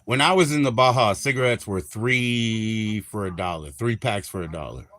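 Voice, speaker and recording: Bright-voiced joking man on a livestream. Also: lowish voice, normal speed. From Minnesota. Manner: crude, joking, rambling, laughs often. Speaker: livestream host. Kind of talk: casual live-stream chat.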